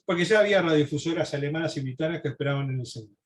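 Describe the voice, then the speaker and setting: A man's voice: on the high side, very fast. A man lecturing informally from notes, explaining as he goes and adding asides and rhetorical questions.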